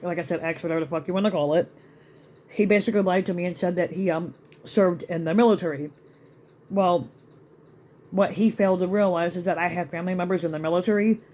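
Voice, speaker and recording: A man ranting in a rambling vlog to his channel, with many restarts and fillers, his voice still slightly raspy after being sick. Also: sits high, darkish tone, slight background noise. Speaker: YouTube vlogger. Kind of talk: rambling rant to camera.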